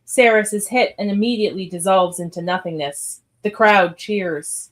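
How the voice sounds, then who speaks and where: Female narrator, medium pitch, reading screenplay action lines for a live audio drama. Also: normal speed. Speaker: narrator of a script read. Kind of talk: scripted stage-direction narration.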